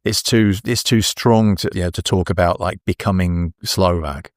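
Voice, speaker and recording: An older man with an English accent, talking as an interview guest in a relaxed, halting way full of restarts. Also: low voice, no room sound, studio quality. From England. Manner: hesitant, many restarts and fillers. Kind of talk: casual interview.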